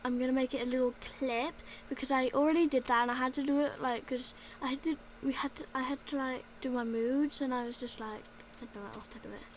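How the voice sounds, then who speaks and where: A woman's voice: sits high, steady tone, fast talker, hardly any pauses, slight background noise. A young woman narrating her gameplay in a casual voice-over, rambling and filling gaps with "like, yeah". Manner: rambling, filler-heavy, repeats "like, yeah". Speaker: gaming video creator.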